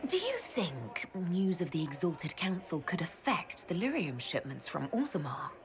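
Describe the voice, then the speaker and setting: A lively, dryly witty leader. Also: female voice, fairly low pitch, normal speed, background noise. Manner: chatty, lively, lightly teasing.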